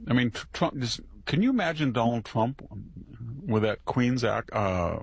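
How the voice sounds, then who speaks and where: A man's voice: medium pitch, sing-song, normal speed, breathy edge. A man giving indignant, rambling political commentary, breaking into quoted asides. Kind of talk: opinionated casual commentary.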